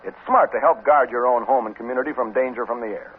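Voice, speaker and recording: A man's voice: medium pitch, fast, slightly rough. A man reading scripted promotional announcements in the upbeat, polished style of a 1950s radio announcer. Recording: muffled, narrow-band 1950s radio recording.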